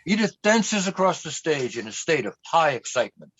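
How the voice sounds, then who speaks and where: A woman's voice: low voice, very animated, moderate pace, breathy edge, muffled recording, heavily acted. A woman reading scripted scene narration for a radio play.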